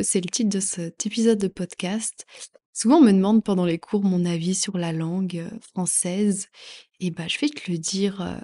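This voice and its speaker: A woman's voice: mid-range voice, mellow. A woman from France hosting a podcast as a French teacher, talking casually with many fillers and frequent laughs. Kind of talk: casual educational podcast talk.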